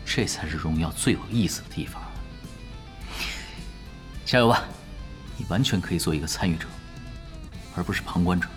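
A man playing a nonchalant, confident young pro gamer in a Chinese radio drama, teasing and quietly assured. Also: low-pitched, normal speed, long pauses, natural voice. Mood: calm, assured. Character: laid-back, confident young male pro gamer who ran away. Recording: music behind the voice.

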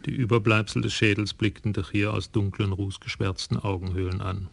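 A man narrating a radio crime play in a wry, measured storytelling manner. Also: fairly low pitch, level tone, creaky, a little hiss or hum. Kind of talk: scripted radio drama narration.

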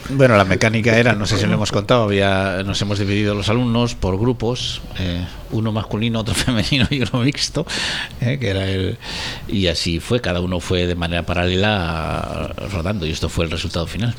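A man's voice: fairly low pitch, a little fast, slight background noise. A man with an accent from Spain, hosting an unscripted radio chat. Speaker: radio show host.

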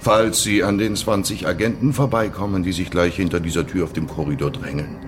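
An actor in a German radio play playing a cynical, coolly menacing Soviet chief prosecutor, with theatrical, drawn-out emphasis that can sink toward a whisper. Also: male voice, low-pitched, fairly even intonation, music in the background, natural voice. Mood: quietly menacing.

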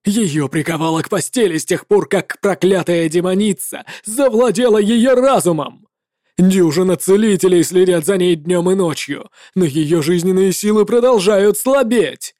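A woman's voice: fairly low pitch, animated, slow pace, darkish tone, dry recording, clean studio sound, voice pushed down. Woman voicing a growling enraged male paladin. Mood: desperate rage. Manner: growling, threatening.

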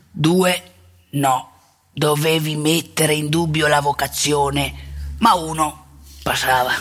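A woman playing a candid, indignant elderly male priest in a theatrical monologue, with a rough voice and colloquial, emphatic storytelling. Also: low voice, noisy background. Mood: wry, confessional. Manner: colloquial, confiding, emphatic, with dramatic pauses. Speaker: stage actor and monologuist.

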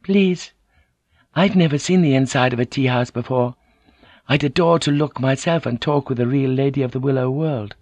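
A man voicing a poised, insistent noble Japanese woman who coaxes and pleads gently. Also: mid-range voice, moderate pace, no room sound, pitched above the performer's own voice, close to an everyday voice. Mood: coaxing.

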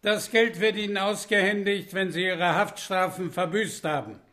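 An actor in a German radio play playing a stern British colonial judge, formal, officious and deliberate. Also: male voice, high-pitched, bright, forceful tone.

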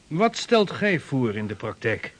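A man's voice: medium pitch, very animated, measured pace. Casting: male actor as an assured merchant statesman, radio play. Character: confident 16th-century Dutch merchant statesman. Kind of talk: radio drama acting.

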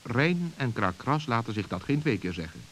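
A man's voice: mid-range voice, big pitch swings, normal speed, fairly bright tone. A man narrating a children's radio play as a storyteller from a script. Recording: old recording with faint hiss.

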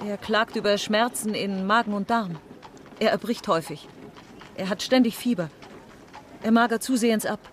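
A woman's voice: medium pitch, moderate pace, long pauses, slight background noise, lightly acted. An actress playing a composed aristocratic Frenchwoman, a devoted daughter, speaking formally and with measure in a German radio crime drama. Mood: grave, controlled concern.

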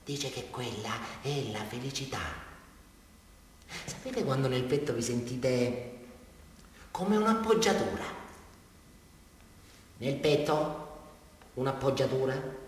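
An actor playing a disturbed, childlike male criminal in a radio drama, rambling and halting through his confession in a southern Italian accent, swinging between hushed and loud. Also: medium pitch, close to an everyday voice. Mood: dreamy, tender. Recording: distant, very echoey reverberant hall.

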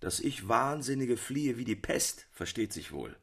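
An actor playing a fastidious gentleman, speaking formally and with emphasis in a literary radio drama. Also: medium pitch, sing-song, gravelly, breathy edge, full character voice. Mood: disdainful. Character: fastidious male gentleman who shuns eccentrics.

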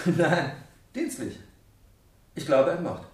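An actor playing a calm, matter-of-fact village doctor in a German radio play, dry and measured. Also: male voice, a little high, roomy sound, noisy background. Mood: sober.

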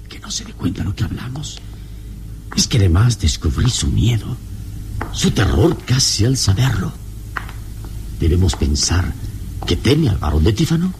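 An actor playing a calm, commanding adventurer hero in a solemn, formal manner, in radio serial style. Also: male voice, fairly low pitch, moderate pace, long pauses. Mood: suspicious, probing. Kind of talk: old Spanish-language radio serial acting. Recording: slightly noisy old radio recording.

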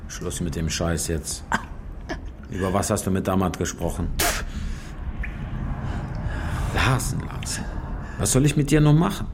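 An actor playing a menacing, sneering interrogator in a German radio crime drama, taunting and commanding. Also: male voice, low voice, sing-song, moderate pace, vocal fry, noisy background, full character voice. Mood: threatening.

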